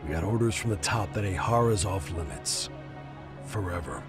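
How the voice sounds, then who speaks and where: A gruff middle-aged cop speaks in a low register over a clear musical score. He is jaded and blunt, with a foul mouth, in the manner of a dubbed crime game cutscene. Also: expressive, normal speed, over music. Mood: weary, irritated. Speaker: police detective character. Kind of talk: English game dub cutscene acting.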